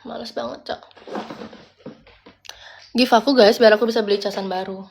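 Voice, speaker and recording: A woman's voice: a little high, steady tone, normal speed, gravelly, darkish tone, a little hiss or hum. Young woman from Bali, chatty streamer, answers her viewers' comments and drops in English. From Bali, Indonesia. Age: young adult. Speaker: livestreamer. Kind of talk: casual live-stream chat. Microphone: phone.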